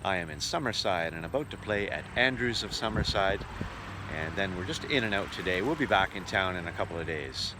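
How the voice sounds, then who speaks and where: A man's voice: deep voice, animated, brisk, background noise. A man who works as a touring entertainer, narrating his travels in a casual vlog style. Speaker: touring musical entertainer and host. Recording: echoey room, music behind.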